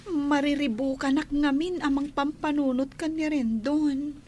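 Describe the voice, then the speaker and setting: Troubled young maiden, Ilocano accent, halting slow delivery, high pitch, for radio drama. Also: female voice, fairly even intonation, darkish tone. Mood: confiding. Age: young adult. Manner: hesitant, halting. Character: troubled young unmarried woman, gentle and hesitant.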